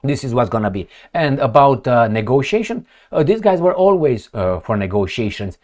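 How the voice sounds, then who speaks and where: A man's voice: medium pitch, big pitch swings, moderate pace, darkish tone. A man giving blunt, sarcastic political commentary, firing off rhetorical questions and swearing as he reacts to news reports.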